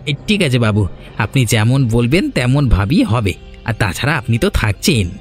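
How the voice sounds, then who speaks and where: A man's voice: mid-range voice, sing-song, normal speed, faint music behind, natural voice. A man playing a sly, obsequious henchman of a village landlord in a cartoon, deferential and needling. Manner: deferential to his boss, needling. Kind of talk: Bengali cartoon voice acting.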